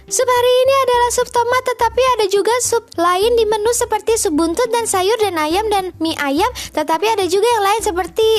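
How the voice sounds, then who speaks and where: A chirpy female storybook character, put on by a woman reading aloud, pitched very high. She gushes in a quick, eager stream that never seems to stop. Faint music plays behind her. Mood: bright, rushed. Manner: rambling, nonstop, eager chatter.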